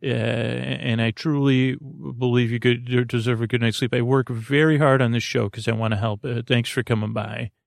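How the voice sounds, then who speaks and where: A man hosting a bedtime podcast in a meandering, hesitant monologue full of stammered false starts and tangents, with a gravelly voice. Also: mid-range voice, steady tone, moderate pace, hardly any pauses, dry recording, clean studio sound. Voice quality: gravelly, creaky. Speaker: podcast host.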